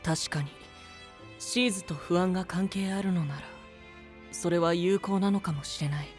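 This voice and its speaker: An actor playing a calm, thoughtful, boyish young knight in an anime audio drama, speaking in a measured, questioning way. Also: male voice, high-pitched, soft background music, lightly acted. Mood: thoughtful, doubtful.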